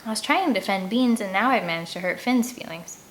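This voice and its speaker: A woman's voice: medium pitch, expressive, fairly quick. Woman reading a children's audiobook over background noise. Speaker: audiobook reader. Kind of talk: scripted read-aloud narration of a first-person children's novel.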